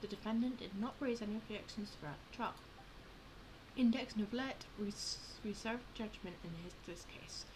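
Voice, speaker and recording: Girl gamer doing a casual lets play, mid pitch voice, stumbles reading stuff out loud, noisy behind her. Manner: informal, halting when reading aloud. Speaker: gamer, channel host. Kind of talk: casual game playthrough commentary, reading text aloud. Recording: clear background noise with game audio.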